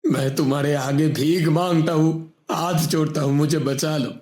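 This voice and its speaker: A man's voice: a little high, steady tone, moderate pace, a little mellow, roomy sound, close to an everyday voice. A man voicing a strong male wrestler who begs and pleads, in a Hindi cartoon. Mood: desperate. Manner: pleading, begging.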